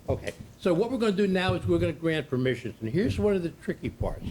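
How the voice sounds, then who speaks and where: A man presenting a training workshop to a room of people, loud and repetitive, explaining steps in a plain-spoken way. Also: high-pitched, big pitch swings, fairly quick. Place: meeting room with an audience. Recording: echoey room, distant mic, noisy.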